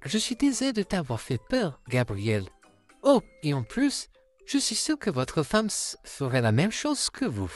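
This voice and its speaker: A man voicing a friendly living puppet in a French comic dub, speaking in a courteous, gentle way. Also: on the high side, wildly swooping pitch, unhurried, faint music behind. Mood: polite, apologetic. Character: polite, friendly male puppet come to life.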